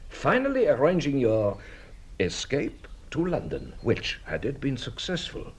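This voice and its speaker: An actor playing a shrewd, courteous police detective in a radio drama, a husky voice and a formal, measured, theatrical manner. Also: male voice, medium pitch, wildly swooping pitch, a little hiss or hum. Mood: explaining.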